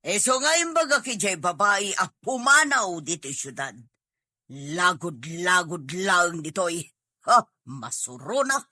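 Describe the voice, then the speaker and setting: A scornful middle-aged Ilocano mother played by a woman in a comedy drama. She speaks slowly and bluntly, with big swings in pitch and a sharp edge. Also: big pitch swings, no echo, studio quality, heavily acted. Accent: Filipino, Ilocano.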